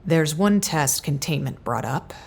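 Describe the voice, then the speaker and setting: Low, husky, troubled officer. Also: female voice, lively intonation, measured pace, heavily acted. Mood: grave, distressed. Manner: hesitant, grave. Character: troubled, reluctant female officer delivering grim news. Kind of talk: audio drama acting.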